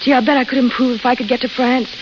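An actress playing a frank, restless young waitress, eager and wistful, in old radio drama style. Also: female voice, sits high, fairly even intonation, very fast, lightly acted. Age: young adult. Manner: plain-spoken, eager, wistful. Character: young desert waitress, dreamy, frank, restless amateur painter. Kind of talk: 1930s-40s radio drama acting. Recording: hissy, crackly, muffled old radio recording.

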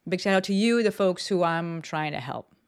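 A woman's voice: fairly low pitch, moderate pace, clean tone. A woman hosting her own podcast alone at a desk mic, talking bluntly and casually with lots of swearing and fillers. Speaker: podcast host. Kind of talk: casual solo podcast talk. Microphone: desk microphone.

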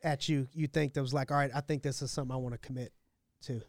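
A man's voice: medium pitch, steady tone, fast talker, no room sound, clean studio sound. A man hosting a podcast interview, talking in a casual, enthusiastic and affirming way. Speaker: podcast host.

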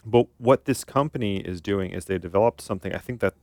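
A man's voice: on the low side, fast talker, a little mellow, no echo, clean studio sound. A man co-hosting a casual podcast, talking in an informal, teasing way. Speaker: podcast co-host, therapist.

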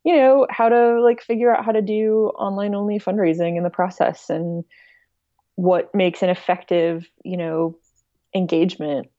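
A woman's voice: mid-range voice, steady tone, normal speed, dark tone, no room sound. A woman speaking casually as a guest in a podcast interview, on a slightly lo-fi recording. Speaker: podcast guest, online music archive director.

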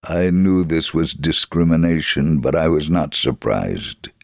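An actor playing a devout, steadfast Israeli father in an earnest, deliberate, theatrical dramatic reading of a memoir. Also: extremely low pitch, expressive, gravelly, no room sound, studio quality, heavily acted. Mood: calm, resolute. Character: devout, steadfast Israeli father telling his life story.

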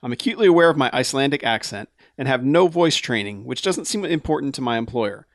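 A laid-back man hosting a podcast, reading a listener letter at a slow, careful pace. His voice sits in an ordinary middle register. The mic is close and dry, with no room sound. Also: mid-range voice, no room sound. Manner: relaxed, laughs often, slows down when reading emails. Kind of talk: casual podcast chat.

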